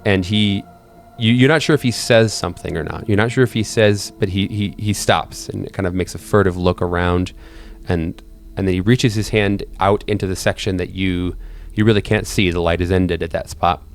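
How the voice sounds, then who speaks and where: A man narrating an improvised tabletop game as game master, feeling out his storytelling in hesitant, measured phrases. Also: deep voice, fairly even intonation.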